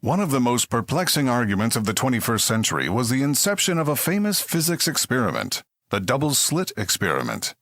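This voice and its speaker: A man playing a mock-serious narrator of a comedy space drama, deadpan and grand. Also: mid-range voice, fairly quick, a little hiss or hum.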